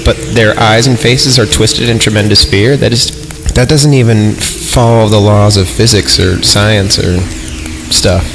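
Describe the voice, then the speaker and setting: Male horror podcast reader, lowish pitch, irreverent and sweary, ad-libs jokes between passages, music behind. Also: rough recording. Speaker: podcast host reading horror stories. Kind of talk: story reading with joking ad-libbed commentary.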